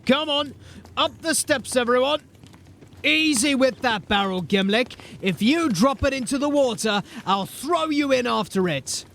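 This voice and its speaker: A man acting a gruff, authoritative sergeant of a city watch in a fantasy audio drama, with clipped speech. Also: very high voice, lively intonation, measured pace, fairly bright tone, slight background noise, full character voice. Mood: commanding.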